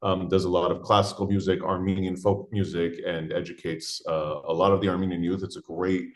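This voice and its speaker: Low-voiced chuckling male actor. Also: level tone, brisk. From Los Angeles, USA. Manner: friendly, chuckling, hesitant restarts. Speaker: actor in the play. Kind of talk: interview guest.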